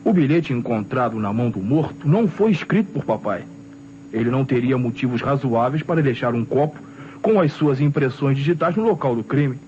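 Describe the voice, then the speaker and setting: An actor playing a self-assured young student with a Brazilian accent, arguing articulately in an old radio detective drama. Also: male voice, mid-range voice, lively intonation, a little fast, a little mellow, close to an everyday voice. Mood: confident, protesting. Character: self-assured young male student defending his father. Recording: dull old radio recording, faint noise.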